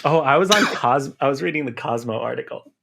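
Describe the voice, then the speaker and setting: Casual male podcast co-host, medium pitch. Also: brisk, vocal fry, a bit bright. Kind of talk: casual chat.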